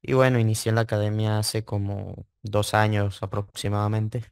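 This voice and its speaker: A man's voice: fairly low pitch, little pitch movement, normal speed, vocal fry, clean tone, no echo. A man, answering interview questions casually and hesitantly, repeating words. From Venezuela (Mérida). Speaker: interview guest, trading student.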